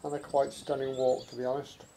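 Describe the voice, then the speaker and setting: A man's voice: medium pitch, little pitch movement, a little fast, warm tone, slight background noise. A man narrating a walk outdoors, chatty and admiring, with wry asides. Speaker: walking vlogger narrating. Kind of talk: casual walking commentary. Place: outdoors by a rural estuary and canal.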